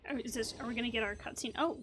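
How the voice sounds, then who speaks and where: Playful high-voiced woman gamer. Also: racing, a bit bright, a little hiss or hum. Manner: playful, muses aloud to herself. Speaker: gaming video host. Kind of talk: casual let's play commentary.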